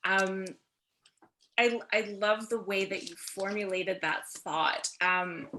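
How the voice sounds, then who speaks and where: A woman's voice: medium pitch, takes it slowly, pushed and bright, slight background noise. A woman interviewer chatting informally about a book, feeling her way through questions in hesitant, self-interrupting phrases.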